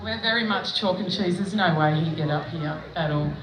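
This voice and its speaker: A woman's voice: on the low side, moderate pace. Chatty Australian woman comic, echoey stage. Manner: chatty, self-mocking storytelling with frequent laughs. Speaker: stand-up comedian. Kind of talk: stand-up comedy set. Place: outdoor festival stage. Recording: echoey, distant mic with background noise.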